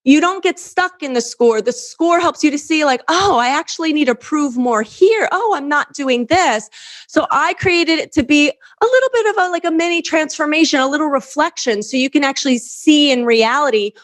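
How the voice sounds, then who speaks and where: A woman's voice: sits high, lively intonation, normal speed. A woman speaking as a dating coach and interview guest, giving conversational, earnest and emphatic answers that repeat phrases for stress.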